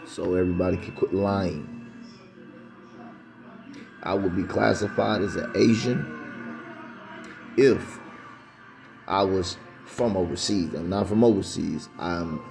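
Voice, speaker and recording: A man's voice: low voice, takes it slowly, vocal fry, long pauses, over music. A man talking straight to camera in a rambling, insistent monologue, repeating himself for emphasis. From United States. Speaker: vlogger.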